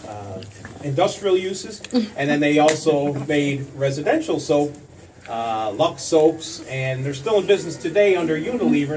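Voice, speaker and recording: Higher-pitched man, slightly distant, with audience. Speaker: host introducing a stage show. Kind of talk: casual address to live audience. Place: theater stage before live audience. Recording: distant mic, noisy audience room.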